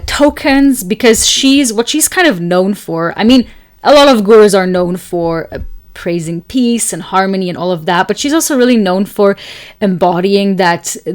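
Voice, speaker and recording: A young woman from Switzerland hosting an astrology show, talking casually and telling a rambling story, her voice slightly raspy and tired from a long day of talking. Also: medium pitch. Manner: chatty, rambling, many fillers and laughs. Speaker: astrology podcast host. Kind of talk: casual solo talk and storytelling.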